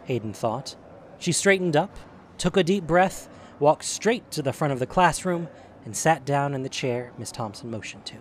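A man's voice: fairly high pitch, lively intonation, moderate pace, a little hiss or hum. A man narrating a scripted audio drama as a storyteller.

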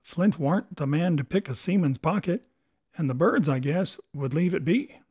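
A man's voice: on the high side, animated, normal speed, darkish tone, husky. A man voicing a shrewd male pirate sailor in casual sailor dialect. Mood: questioning.

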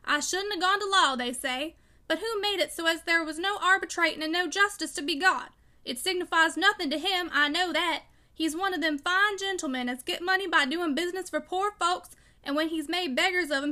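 Woman voicing a bitter, forceful old country father. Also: high-pitched. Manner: peremptory, emphatic, dialect speech. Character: bitter, broken, fierce old father, rustic and stubborn. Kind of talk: audiobook character dialogue.

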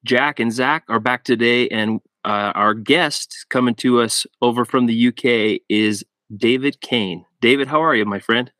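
A man's voice: mid-range voice, measured pace, hardly any pauses, bright, forceful tone, no room sound. A man hosting a podcast in a casual way, with upbeat short interjections. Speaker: podcast host.

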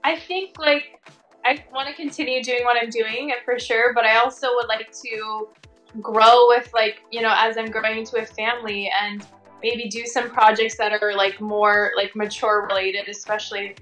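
High-voiced young-sounding woman chatting casually. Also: flat delivery, moderate pace, a bit bright, soft background music, poor audio. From Tongan American. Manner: informal, frequent "like" and "you know". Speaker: interview guest, working fashion and commercial model. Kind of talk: casual interview chat.

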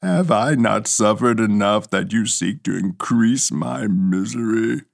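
A man voicing an anguished male creature who speaks slowly and heavily in a low, husky tone. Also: no room sound, studio quality. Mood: pleading. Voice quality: gravelly, husky. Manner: slow, low, heavy pleading.

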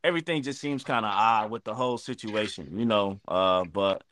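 A man's voice: fairly low pitch, moderate pace, fairly bright tone. A man co-hosting a podcast with casual, slangy banter, exclaiming and repeating himself. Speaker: podcast co-host.

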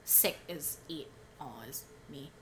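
A woman's voice: deep voice, takes it slowly, fairly bright tone, slight background noise. A woman co-hosting a podcast from home, chatting casually, laughing often and filling with "like". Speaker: podcast co-host. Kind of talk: casual chat.

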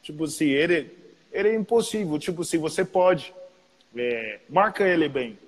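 A man's voice: fairly high pitch, animated, moderate pace, breathy edge. A man with an American accent, a native English speaker, chatting casually in a slangy way full of repeated tags. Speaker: podcast interviewer, basketball player. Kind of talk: casual chat. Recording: long reverb, roomy sound.

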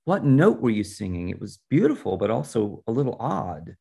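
A man's voice: mid-range voice, big pitch swings, moderate pace, gravelly, warm tone, husky, full character voice. A man reading a storybook in the part of a curious, eager young female student, inquisitive and informal.

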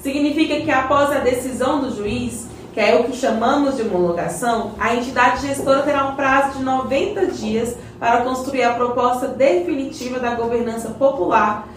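A woman's voice: high voice, normal speed, hardly any pauses, lightly acted. A woman with a Brazilian accent acting a patient, knowledgeable community member in an educational radio play, explaining in a colloquial way. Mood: didactic. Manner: didactic, colloquial. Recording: roomy echo, distant mic, faint noise.

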